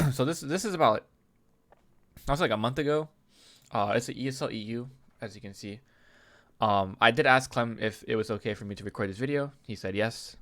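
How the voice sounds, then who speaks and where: Male video host, bright nasal tone, under the weather, casual and chatty breakdown of a match. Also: fairly low pitch. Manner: chatty, clears throat often, restarts. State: sick, slightly nasal. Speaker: YouTube host analyzing a game replay. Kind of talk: casual game analysis commentary.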